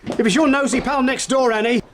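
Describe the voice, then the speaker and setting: A man's voice: very high-pitched, brisk. A man acting a querulous, pompous father fretting over money, spluttering with indignation, in a period British radio drama. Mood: indignant. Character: fretful, indignant suburban father drowning in debt.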